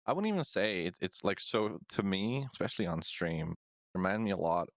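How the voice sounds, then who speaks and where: A man hosting a casual podcast, easygoing, chuckling and a bit halting. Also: low voice, lively intonation, dry recording, clean studio sound. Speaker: podcast host. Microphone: studio microphone.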